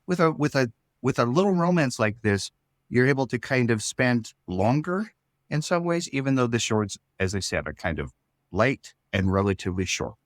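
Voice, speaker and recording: Male, average pitch, very little room sound. Casual creator interview, halting, thinking out loud. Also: very animated, dry recording, studio quality. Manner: rambling, halting, thinking aloud. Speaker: show writer and creator.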